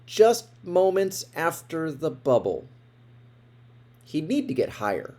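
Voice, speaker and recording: A man reading a children's picture book aloud in an expressive way, with dramatic emphasis. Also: fairly high pitch, takes it slowly. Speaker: storybook reader.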